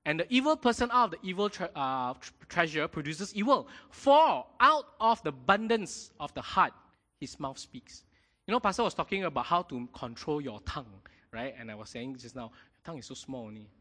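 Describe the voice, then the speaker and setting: A man preaching a sermon in a lively way, often checking in with his congregation. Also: a little high, a little fast. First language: not Mandarin. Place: church hall. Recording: long hall reverb.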